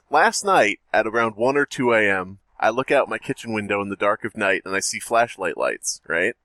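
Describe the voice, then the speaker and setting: A man co-hosting a podcast from home, chatty and quick to joke. His low voice has a bright, forceful edge. The sound is dry and close. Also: no echo. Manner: animated, joking, chatty. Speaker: podcast co-host. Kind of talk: casual chat, storytelling.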